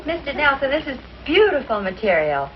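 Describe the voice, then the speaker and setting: A woman playing a concerned, practical companion who gently scolds, in 1950s comedy style. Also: high voice, very animated, fairly quick, over a noisy crowd. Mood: puzzled, worried. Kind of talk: 1950s comedy show acting. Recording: dull old broadcast, loud audience and noise.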